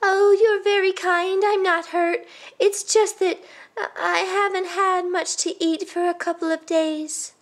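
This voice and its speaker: Woman playing a high-voiced, gentle old grandmother. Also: flat delivery, slow pace. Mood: grateful, gentle. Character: kindly, modest, hungry old village grandmother.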